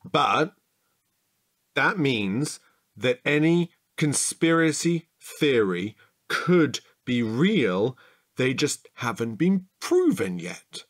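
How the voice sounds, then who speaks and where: A man playing a slow, swooping, childlike chatterbox. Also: medium pitch, exaggerated voice. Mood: curious. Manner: playful, excitable, drawn-out delivery. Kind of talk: comedic audio drama acting.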